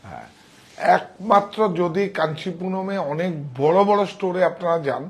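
Man, fifties, slightly high husky voice, emphatic and repetitive, casual shop sales demo. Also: animated, moderate pace. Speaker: shop owner and presenter. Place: indoor saree shop showroom. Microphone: wireless clip-on mic on chest.

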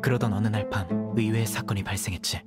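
A man voicing a calm, stern young male judge in a dubbed game, speaking in a measured, dry way. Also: fairly low pitch, over loud music, close to an everyday voice. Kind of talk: game dub voice acting.

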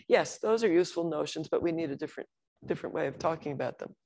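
An older woman lecturing on logic and semantics in a measured, explanatory way, with occasional restarts. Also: on the low side, a little mellow. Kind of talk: academic lecture on slides.

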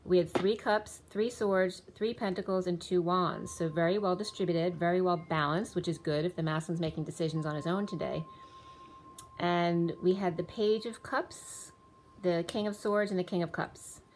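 Slightly low-voiced woman reading tarot. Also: fairly even intonation, normal speed, a little mellow, a little hiss or hum, rough recording. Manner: rambling, many restarts and fillers, reads off card names and times. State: losing her voice. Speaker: tarot reader. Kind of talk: casual spoken tarot reading. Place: indoors, room with a table of spread cards.

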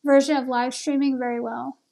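A woman's voice: sits high, level tone, brisk, vocal fry, warm tone. A woman video host talking casually in a questioning, conversational way, as if on a phone call.

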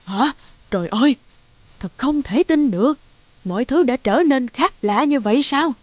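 An actress voicing a timid, curious and secretly greedy middle-aged nursemaid in a cartoon fairy tale, hesitant and muttering to herself. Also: female voice, sits high, lively intonation, moderate pace, no room sound, highs cut off. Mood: amazed. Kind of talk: animated fairy-tale dubbing. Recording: background music under the voice.

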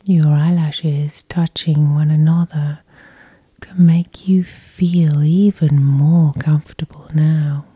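Female hypnosis guide. Airy, barely voiced whisper, slow and soothing, recorded close and dry. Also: low-pitched, fairly even intonation, husky, dry recording, lo-fi sound. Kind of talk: whispered sleep hypnosis, ASMR guided meditation.

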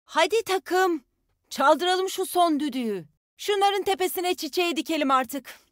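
Casting: young female game fighter and healer, high pitch, plucky, folksy, confident, very dry studio sound. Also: animated, a little slow, no room sound. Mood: rallying. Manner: folksy, confident, spirited team talk. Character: spirited tough young Australian woman fighter, nature-loving healer. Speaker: game character voice actor. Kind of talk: video game voice lines, short battle call-outs.

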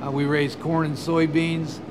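A middle-aged man from Ohio, a farmer and biodiesel producer, speaking in an interview in a measured, earnest, deliberate way. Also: on the high side, little pitch movement, background noise. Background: background music.

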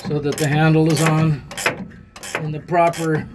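A man's voice: a little high, measured pace, noisy background. A man casually talking through a boat repair as he works with his hands, thinking aloud in plain folksy words. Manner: relaxed, thinking aloud while working, plain folksy talk. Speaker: boat owner showing a repair. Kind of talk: casual hands-on how-to narration. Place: aboard a boat, in the bilge.

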